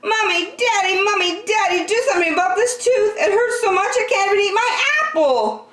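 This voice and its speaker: A woman voicing a young boy wailing about his loose tooth, with high crying complaints. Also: a little fast, fairly bright tone, reverberant.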